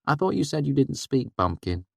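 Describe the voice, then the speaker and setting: Wry young woman traveler, dry and teasing, a bit weary, a male narrator putting her on; lifted, lively tone in a storybook reading. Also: mid-range voice, moderate pace, creaky, breathy edge, no room sound, pitched above the performer's own voice, full character voice.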